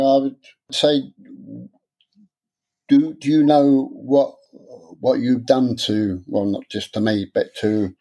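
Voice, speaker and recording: A man with a stammer speaks softly in a personal interview. His voice is mellow and dark, and he pauses and repeats words. He sits at an even, middling pitch. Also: rough recording. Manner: halting, repeats words, soft-spoken, candid.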